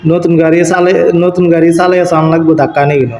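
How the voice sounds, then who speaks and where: A man's voice: fairly high pitch, fairly even intonation, very fast, a little mellow. A man chatting casually on a recorded phone call. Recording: roomy, faintly noisy phone call recording.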